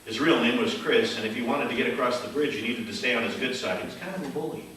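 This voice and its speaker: A man playing a wry mystery writer, narrating a noir parody deadpan in live radio theater. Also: medium pitch, fast talker, close to an everyday voice. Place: theater stage, live performance. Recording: echoey theater, distant, faint noise.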